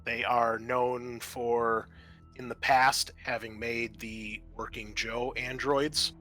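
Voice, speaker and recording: A man narrating a tabletop roleplaying game as its game master, halting as he thinks aloud. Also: mid-range voice, flat delivery, sharp tone, soft background music.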